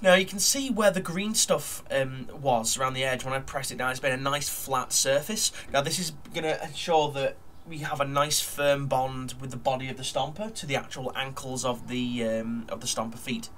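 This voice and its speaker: A man's voice: medium pitch, moderate pace, fairly bright tone, a little hiss or hum. A man with a nasal, blocked-up voice from a cold, chatting casually at his desk while narrating a model build, full of ums and uhs. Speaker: hobby model builder vlogger.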